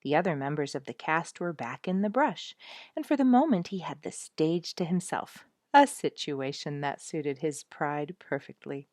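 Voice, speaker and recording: A woman narrating a children's story in an expressive, animated storytelling style, acting out the key moments. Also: low-pitched, normal speed, dry recording, clean studio sound. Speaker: audiobook narrator.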